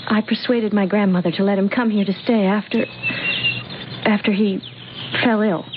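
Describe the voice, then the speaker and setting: A woman's voice: mid-range voice, steady tone, moderate pace, natural voice. An actress playing a fragile, earnest young woman in a vintage radio drama, speaking in a hesitant, devoted manner. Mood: concerned. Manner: soft-hearted, hesitant, earnest. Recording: tinny, noisy old radio recording.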